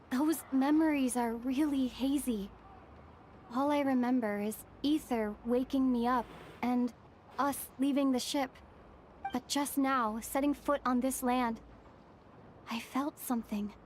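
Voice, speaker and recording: A woman voicing a thoughtful, gentle young female traveller in a fantasy video game, reflective and wistful. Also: high-pitched, fairly even intonation, a little slow, pauses often, a little hiss or hum.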